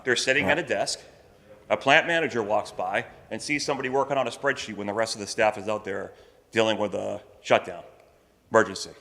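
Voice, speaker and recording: A man speaking on a conference panel, loud and projecting without a microphone. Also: on the low side, a little fast, creaky. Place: conference session room. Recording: long hall reverb.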